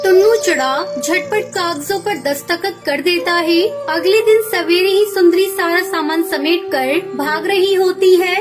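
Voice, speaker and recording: A woman narrating a children's cartoon story in a clear, measured way, with music behind. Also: very high-pitched.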